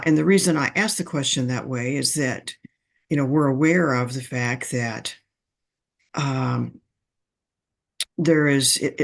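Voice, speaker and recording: Male participant, measured and hesitant, building a long question. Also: medium pitch, gravelly, fairly bright tone. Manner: slow, halting, many fillers. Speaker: audience member asking a question. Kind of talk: online discussion question.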